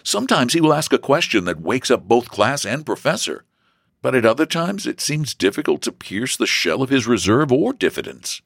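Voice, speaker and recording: A man's voice: mid-range voice, very animated, moderate pace, gravelly, fairly bright tone, no room sound, clean studio sound, voice pushed up, heavily acted. A man voicing a good-humored, affectionate young brother in a storybook reading. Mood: earnest.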